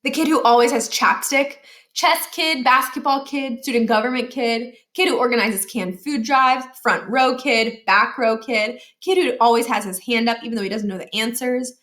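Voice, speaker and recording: A woman reading a children's novel aloud as an expressive storyteller. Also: fairly high pitch, moderate pace. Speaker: storybook reader.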